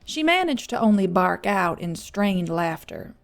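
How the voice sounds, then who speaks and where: A woman's voice: mid-range voice, very animated, a little slow, vocal fry. A woman reading scripted audiobook narration as the storyteller.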